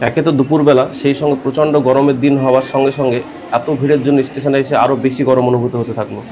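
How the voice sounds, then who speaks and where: Fast-talking man narrating a trip. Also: medium pitch, little pitch movement, dark tone, poor audio. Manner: fast, informative tour-guide telling. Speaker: travel vlogger. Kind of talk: travel narration voice-over. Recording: music bed under voice-over. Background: guitar music.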